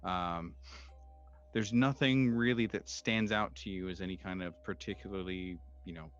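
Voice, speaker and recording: Man, very low pitch, game master, improvised horror narration, hesitant phrasing, music in background. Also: moderate pace, fairly bright tone, dull audio. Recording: music behind the voice.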